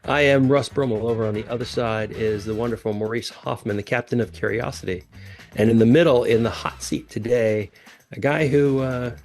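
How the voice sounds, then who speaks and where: A man hosting a podcast, introducing the guest in an upbeat, energetic way. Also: medium pitch. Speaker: podcast host. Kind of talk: casual podcast chat.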